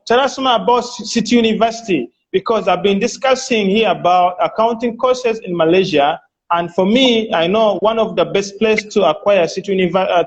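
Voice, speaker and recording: A man's voice: high voice, muffled recording. A man hosting a casual live online chat and interview, talking with many fillers and restarts, and cutting in with eager interjections. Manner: enthusiastic interjections.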